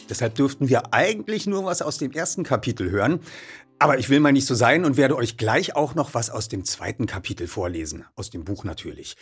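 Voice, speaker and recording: A man presenting and reading a story aloud in a lively narrating style. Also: medium pitch, slightly rough. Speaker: audiobook reader and presenter.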